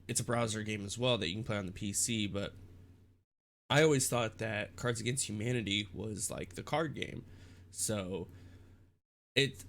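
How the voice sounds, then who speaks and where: A young man with a congested, stuffed-up nose, rambling in a halting casual vlog about his streaming. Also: on the low side, a bit bright, slight background noise. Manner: rambling, halting with many restarts and fillers. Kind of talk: casual vlog talk to camera.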